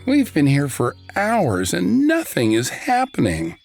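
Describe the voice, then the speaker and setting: A man's voice: on the high side, huge pitch swings, unhurried, gravelly, a little mellow, soft background music, voice pushed up, heavily acted. A man voicing a whiny, defiant but earnest young runaway boy in a children's storybook. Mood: whiny, puzzled. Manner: whiny, exclaiming.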